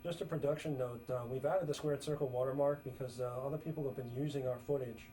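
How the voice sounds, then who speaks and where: A man co-hosting a wrestling talk show, chatting casually, laughing often and filling pauses with "uh". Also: mid-range voice, fairly even intonation, warm tone, a little hiss or hum. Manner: chatty, laughs often, heavy use of "uh" fillers. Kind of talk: casual commentary chat.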